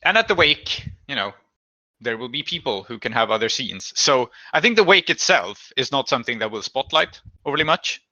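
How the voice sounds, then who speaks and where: A man with a very bright, forceful voice leads a tabletop game, casually laying out scenes and putting questions to players. The sound is close and dry, with a slightly dull, lo-fi edge. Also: mid-range voice, very animated, brisk, dry recording. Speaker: game master and host of a tabletop actual-play podcast.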